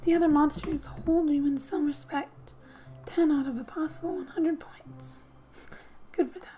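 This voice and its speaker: A woman speaking softly and breathily, often near a whisper, as she gently reads game text aloud for an ASMR gaming video. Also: high-pitched, fairly even intonation, moderate pace, faint music behind.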